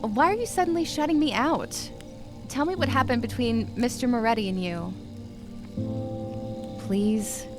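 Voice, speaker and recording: A woman's voice: a little high, lively intonation, unhurried, pauses often. An actress playing an inquisitive, candid young woman in an audio drama, with a direct, probing way of talking. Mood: hurt, insistent. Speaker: actor. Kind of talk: naturalistic audio drama dialogue. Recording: music playing behind the voice.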